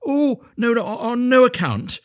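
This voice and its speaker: A man's voice: sits high, very animated, normal speed, dry recording, studio quality, voice pushed up. A man voicing a shy, timid, humble little man in a storybook, apologetic and hesitant, eager to please. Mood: anxious, eager. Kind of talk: storybook character voice.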